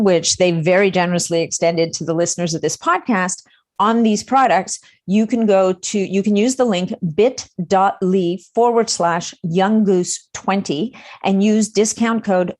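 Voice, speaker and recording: A woman's voice: mid-range voice, darkish tone. A woman hosting a podcast, chatting upbeat with her listeners and guest. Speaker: podcast host. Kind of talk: podcast interview.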